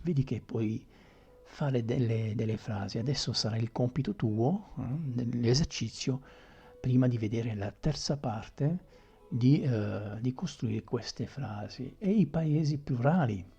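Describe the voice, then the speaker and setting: Man teaching slowly, husky and mellow. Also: medium pitch, dull audio, soft background music. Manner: patient, repeats examples slowly, checks with ok. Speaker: French teacher. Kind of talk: grammar lesson in Italian with French examples.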